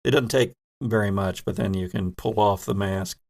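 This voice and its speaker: A man's voice: lowish voice, moderate pace, vocal fry, darkish tone. A man narrating a tabletop role-playing game as game master, setting scenes with vivid description.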